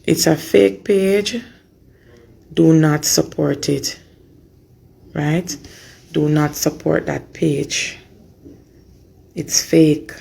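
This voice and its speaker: A Jamaican woman speaks informally and earnestly to her supporters. Her voice is hoarse and creaky, and she goes slowly, repeating phrases to make her point. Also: low voice, fairly even intonation, warm tone. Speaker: mother giving an update on her daughter. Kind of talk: informal spoken update to supporters.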